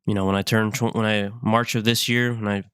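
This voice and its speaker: Earnest young man with a smooth voice. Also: on the low side, flat delivery, a bit bright, no echo, clean studio sound. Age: 20s. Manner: halting, repeats himself, earnest. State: sick. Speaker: vlogger. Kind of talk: personal confessional vlog.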